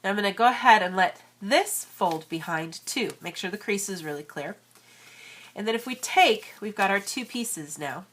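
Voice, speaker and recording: A woman's voice: mid-range voice, expressive, moderate pace, a bit bright, slight background noise. A woman in her thirties with a cold, chatting casually as she walks through an origami tutorial. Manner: chatty, friendly, many fillers. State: has a cold. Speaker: origami crafter and channel host.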